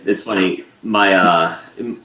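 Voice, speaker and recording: A man with a very low, deep voice chats easily on a video call, drifting through thoughts with plenty of ums and uhs. His voice rings in an echoey room over a faint hiss. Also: takes it slowly. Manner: relaxed, rambling with many fillers. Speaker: guest presenter. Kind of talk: casual video call chat.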